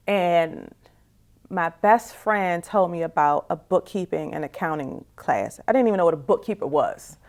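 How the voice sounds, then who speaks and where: A woman's voice: lowish voice, animated, normal speed, a little mellow. A woman in her forties giving a reflective, candid personal testimony as an interview guest, in a conversational way, often saying "you know". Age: 40s.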